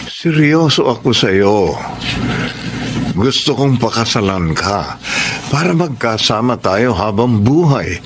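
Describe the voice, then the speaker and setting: A serious, wounded suitor in a Filipino radio drama. He speaks very slowly and solemnly in a fairly low voice, over clear background noise. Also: very animated, full character voice. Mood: earnest, wounded. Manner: measured, solemn. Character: earnest, serious suitor abroad, patient but wounded.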